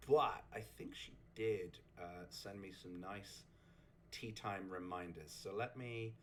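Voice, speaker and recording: A man with a fairly low voice hosts a relaxed recap chat. He rambles and chuckles, often breaking off to restart a sentence. His voice is just getting over a sore throat. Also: takes it slowly, slight background noise. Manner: rambling, chuckling, self-interrupting. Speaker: host. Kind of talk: casual chat recapping a game community.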